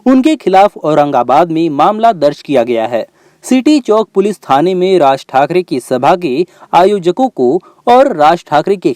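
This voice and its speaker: A man's voice: fairly high pitch, a little mellow, no echo, clean studio sound. A man narrating news reports from a script as a reporter.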